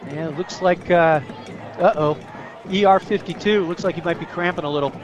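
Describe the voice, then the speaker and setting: A man's voice: sits high, brisk, highs cut off, music in the background. An American man giving conversational color commentary on a live football radio broadcast. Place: outdoor high school football stadium. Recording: loud crowd behind, outdoor stadium broadcast. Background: crowd noise.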